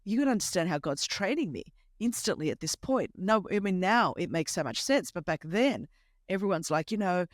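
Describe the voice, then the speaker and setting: A woman in her fifties with an Australian accent whose first language is Greek, telling a lively, humorous personal story as a podcast host. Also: on the low side, a little fast, dry recording, clean studio sound. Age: 50s. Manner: animated, emphatic, humorous storyteller. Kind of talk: conversational testimony storytelling.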